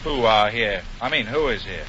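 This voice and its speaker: Wisecracking, flustered 1940s radio con man. Lively intonation, muffled noisy old recording. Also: on the low side, moderate pace. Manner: hesitant bluffing, wisecracking. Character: glib, flustered confidence man. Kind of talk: 1940s radio comedy acting.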